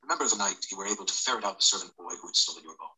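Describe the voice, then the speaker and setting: Casting: stern fantasy father read by a male narrator, deep, intense, sharp-edged. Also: very fast, rough recording. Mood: solemn, urgent. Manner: solemn, intense. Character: stern, grave father of a gifted boy, fantasy audiobook.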